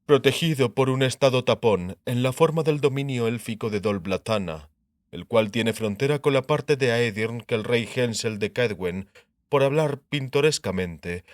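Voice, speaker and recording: A man voicing an assured, sharp-minded king in an audiobook, lecturing on war in declarative phrases and rhetorical questions. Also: lowish voice, dry recording, clean studio sound. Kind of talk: dramatised audiobook dialogue in Spanish.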